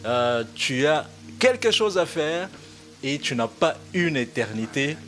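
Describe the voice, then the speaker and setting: A man offering casual, practical advice in a group discussion, in a halting, step-by-step way. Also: on the high side, big pitch swings, fairly bright tone. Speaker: podcast panelist.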